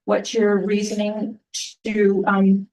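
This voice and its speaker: Soft-spoken woman, halting, mic at a distance. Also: mid-range voice, completely flat delivery, a little hiss or hum. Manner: halting, many restarts and fillers. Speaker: resident addressing town council. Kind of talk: public comment at a council meeting. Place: town council chamber.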